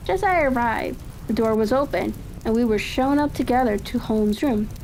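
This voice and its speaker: High, mellow-voiced woman reading a story. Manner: unhurried, halting, stumbles and corrects words. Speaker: audiobook reader. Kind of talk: calm ASMR-style reading of a classic mystery story. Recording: steady rain-like crackling ambience behind. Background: fireplace crackle ambience.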